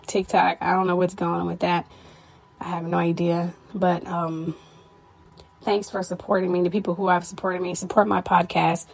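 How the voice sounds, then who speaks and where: A tired, stressed woman hosting her own podcast, talking candidly to listeners in a casual personal update, her voice a little hoarse. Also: fairly low pitch, flat delivery, moderate pace. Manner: candid, weary, stressed, with frequent "you know". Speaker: podcast host.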